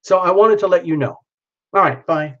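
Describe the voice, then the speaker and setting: A man's voice: high voice, normal speed, fairly bright tone, muffled recording. A man hosting a live stream, giving a casual, exuberant tarot reading and speaking straight to the viewer while losing his voice. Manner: exuberant, chatty, addresses viewer by name.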